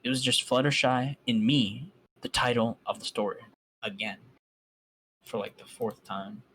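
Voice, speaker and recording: A man's voice: mid-range voice, measured pace, a bit bright, slight background noise, rough recording. A man reading a fan story aloud on a voice call and breaking off for sarcastic, mocking asides. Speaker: fan fiction reader.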